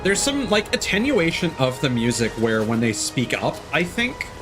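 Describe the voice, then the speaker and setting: Lively man rambling over game music. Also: on the high side, moderate pace. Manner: rambling, profane, musing aloud. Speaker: game streamer. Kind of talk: casual live gameplay commentary.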